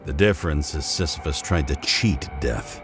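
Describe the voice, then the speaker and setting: A man's voice: a bass voice, moderate pace. A man acting a weary, haunted detective in a cinematic game, with a brooding, intense delivery. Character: weary, haunted male detective reconstructing crimes. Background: loud music.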